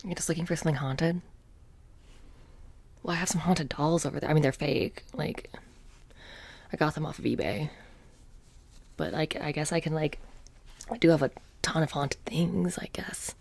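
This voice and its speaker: A woman playing a bored, petty young witch shopkeeper in a soft-spoken ASMR roleplay, casually menacing and deadpan, breathy and often dropping into a whisper. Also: low voice, full character voice. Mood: bored, unimpressed. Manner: deadpan, casual, many drawn-out pauses and filler "like".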